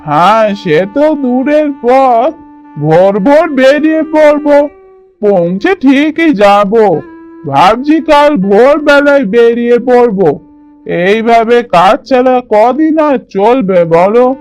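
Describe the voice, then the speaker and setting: An actor playing a poor, kind-hearted village labourer in a cartoon, speaking lines in an earnest, plaintive, musing way. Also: male voice, very high voice, expressive, takes it slowly, faint music behind, exaggerated voice. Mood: earnest, resolved. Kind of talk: cartoon voice acting.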